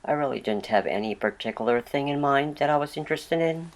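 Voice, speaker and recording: A vlog host narrating a product unboxing with a voice left raspy by a lingering cold. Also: mid-range voice, brisk.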